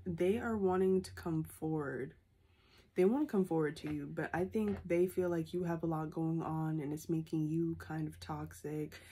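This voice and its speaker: Stuffy-nosed young woman doing a tarot reading on her phone, low dark husky voice, keeps saying okay?. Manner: repeats a questioning "okay?" after nearly every point. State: congested from a sinus infection. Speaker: tarot reader. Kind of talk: casual tarot reading to camera. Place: small room indoors. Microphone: phone camera at arm's length.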